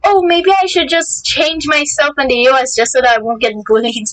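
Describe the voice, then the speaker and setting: A young woman from the Philippines in a casual group voice call, speaking softly and hesitantly with many restarts. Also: sits high, lively intonation, brisk, distant mic, poor audio. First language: Filipino.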